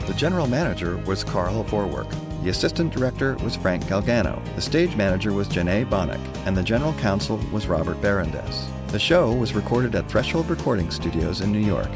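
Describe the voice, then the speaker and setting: A man's voice: on the low side, sing-song, brisk, few pauses. A man working as an announcer, reading scripted closing credits. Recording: loud music under the voice.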